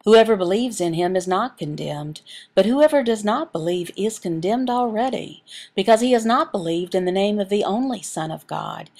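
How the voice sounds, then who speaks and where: A woman reading a sermon and scripture aloud as a voice interpreter, in measured, halting short phrases. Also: mid-range voice, a little mellow.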